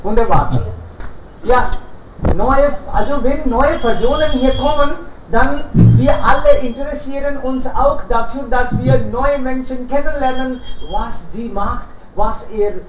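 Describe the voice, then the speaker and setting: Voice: sits high, a little slow. The host of an online German conversation club, moderating learners with formal, encouraging remarks over a video call. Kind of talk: moderating a language-learner chat. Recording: echoey, distant call audio with faint noise.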